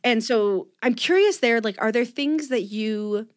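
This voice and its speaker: A woman's voice: fairly high pitch, a little slow, no room sound. Chatty woman host, dry sound. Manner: chatty, lots of "like", self-aware laughs. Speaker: podcast host. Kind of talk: casual interview chat.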